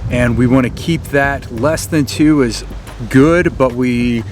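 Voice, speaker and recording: A man's voice: medium pitch, takes it slowly, a little mellow, a little hiss or hum. A man hosting a casual, unscripted how-to vlog, explaining somewhat hesitantly as he thinks aloud. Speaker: vlog host.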